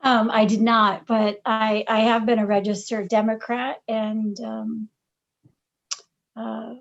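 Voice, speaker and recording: Hesitant middle-aged woman, flat delivery. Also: a little high, takes it slowly, creaky, highs cut off. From Massachusetts. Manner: hesitant, many fillers and restarts. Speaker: podcast guest, parent. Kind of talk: casual interview answers.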